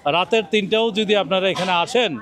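A man's voice: sits high, normal speed, a crowd in the background. A middle-aged man reporting from an outdoor livestock market into a handheld mic, persuasively addressing viewers. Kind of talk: on-location promotional interview. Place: outdoor livestock market under a bamboo shed.

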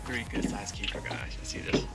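A young man talking casually and slangily as he films a fishing vlog on a small open boat. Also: a little fast. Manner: slangy, short exclamations. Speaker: fishing vlogger. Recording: loud wind and boat noise, clicks.

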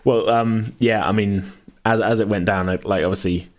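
A man's voice: fairly low pitch, fairly even intonation, moderate pace. A man talking casually as host and game master, in a rambling, hesitant, self-correcting way. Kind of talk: casual chat about a tabletop game.

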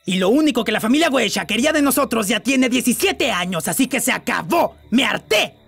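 A performer with a Latin American accent playing a lovesick, emotional demon prince and unhappy husband in an animated fan dub. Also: medium pitch, a little fast, creaky. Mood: fed up, angry. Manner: theatrical, emotional, swinging from purring to outraged. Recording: loud music behind the voice.

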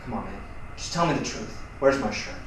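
A man's voice: medium pitch, expressive, brisk, lightly acted. A man playing an exasperated, short-tempered roommate in a naturalistic acted scene, speaking bluntly and confrontationally. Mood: suspicious. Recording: distant, very echoey room, faint noise.

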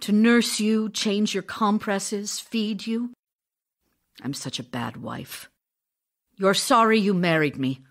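Vivacious, theatrical devoted wife. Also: female voice, medium pitch, slow pace. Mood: tender, guilty. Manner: theatrical, effusive, teasing. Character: vivacious, self-dramatizing actress wife, devoted yet restless. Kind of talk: audio drama acting, reading love letters.

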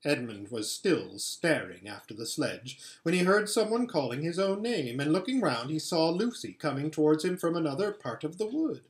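A middle-aged man reading a children's book aloud in expressive narration. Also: a little high, normal speed, hardly any pauses. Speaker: story reader. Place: furnished living room at home, indoors. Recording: echoey home room.

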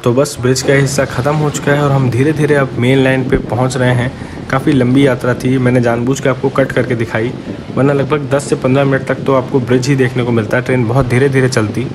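A man's voice: medium pitch, little pitch movement, fairly quick. Man vlogging over train noise. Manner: friendly, addresses viewers as friends. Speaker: travel vlogger. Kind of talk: casual travel narration. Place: on a moving train. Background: train and wind noise.

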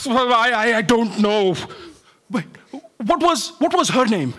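Man playing a high, melodramatic comic quester. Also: big pitch swings, bright, forceful tone, full character voice. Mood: puzzled, deliberate. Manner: theatrical, exclamatory, halting. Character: bossy, melodramatic comic colleague on a frantic quest. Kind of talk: staged comic audio drama. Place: theatre stage. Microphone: microphone on a stand. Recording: noisy live stage recording.

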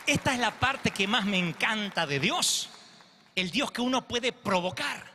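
Brief: man in his thirties, pastor, high and very sharp-toned, animated stage sermon in a big reverberant hall. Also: unhurried, a faint crowd, slight background noise. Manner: theatrical, acts out quotes, pacing the stage. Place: large glass church auditorium. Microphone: handheld mic.

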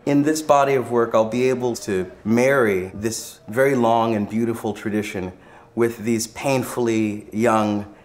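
A man narrating a documentary in a measured, reflective and articulate way. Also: fairly low pitch, steady tone. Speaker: visual artist presenting the film.

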